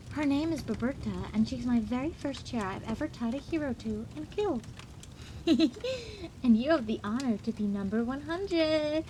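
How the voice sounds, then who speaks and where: A high-pitched, unhinged villainess acted by a woman toys with her prey in a theatrical ASMR roleplay. She teases in a gleeful sing-song, prone to giggles. There is audible noise behind the voice. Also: measured pace. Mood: menacing, teasing. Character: gleeful, taunting, unhinged female supervillain toying with captive.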